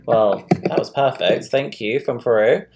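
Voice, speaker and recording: A woman's voice: a bass voice, a little slow, a little mellow. A woman hosting a casual live-stream chat, talking loosely with lots of fillers. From London. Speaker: host.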